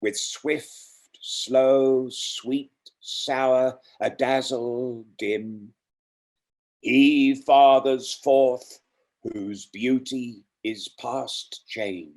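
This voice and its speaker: A man reading and introducing poems in an unhurried, deliberate, reflective way. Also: medium pitch, steady tone, a little mellow. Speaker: poetry reader introducing and reciting poems. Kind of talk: poetry reading with spoken introductions.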